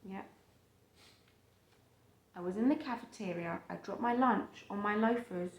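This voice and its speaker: A woman's voice: mid-range voice, a little slow, echoey room. A woman reading a picture book aloud to a child, stopping to explain the pictures simply and acting out the quotes. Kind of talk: storytime read-aloud with asides to a child.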